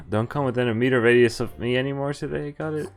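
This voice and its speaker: Smooth-voiced man narrating a game. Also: medium pitch, fairly even intonation, moderate pace, a bit bright, soft background music. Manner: wry, swears and laughs between lines. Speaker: streamer. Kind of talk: reading a visual novel aloud with commentary.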